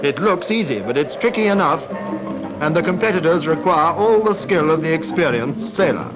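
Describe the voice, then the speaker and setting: High-pitched newsreel man over music. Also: animated, normal speed, lo-fi sound. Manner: wry, lightly humorous. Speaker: newsreel-style sports narrator. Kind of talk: scripted 1940s sports film commentary. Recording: vintage 1940s film soundtrack, music behind. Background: background music.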